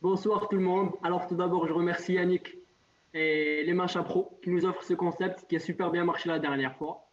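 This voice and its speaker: A man's voice: high voice, fairly even intonation, brisk, highs cut off. A man from Morocco, an improv player, giving a short casual greeting with small stumbles.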